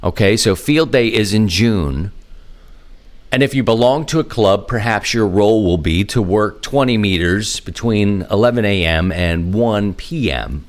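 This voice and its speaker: Low-pitched man hosting a casual podcast. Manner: informal, conversational, asking and answering own questions. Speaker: podcast host. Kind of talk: casual announcement to amateur radio listeners.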